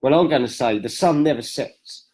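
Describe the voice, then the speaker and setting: A man's voice: mid-range voice, moderate pace, a little mellow, slightly rough, low-quality recording. A man hosting a casual live interview, enthusiastic and full of praise, laughing often. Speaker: host.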